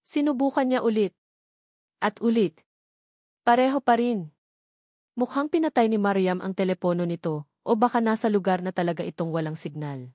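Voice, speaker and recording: A woman's voice: medium pitch, moderate pace, pauses often, a little mellow, no echo. Woman, Filipino accent, scripted dramatic storytelling, romance narration, dry studio-like sound.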